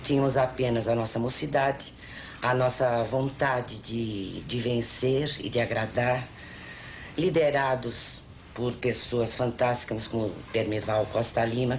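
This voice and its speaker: Nostalgic older Brazilian woman, low-voiced. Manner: nostalgic, effusive about colleagues. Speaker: veteran television performer. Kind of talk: nostalgic recollection in a documentary interview. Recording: old TV recording with faint noise.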